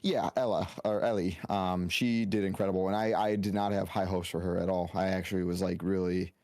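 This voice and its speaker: Lower voiced guy chatting on a podcast panel, dry close sound. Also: steady tone, fairly quick, darkish tone, no echo. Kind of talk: casual podcast chat.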